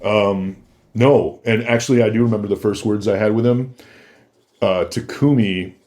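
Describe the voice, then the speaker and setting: Low-voiced man telling casual stories. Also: steady tone, normal speed, darkish tone. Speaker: podcast guest. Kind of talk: casual anecdotal storytelling.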